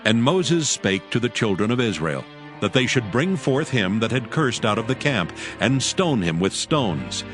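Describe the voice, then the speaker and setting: A man's voice: medium pitch, sing-song, unhurried. A man narrating scripture in a formal, solemn storytelling manner from a script. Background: music.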